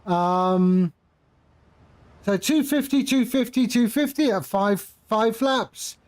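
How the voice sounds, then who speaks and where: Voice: mid-range voice, slow pace, a little mellow. A flight simulator streamer giving casual live commentary, patiently explaining and repeating phrases while chatting with viewers.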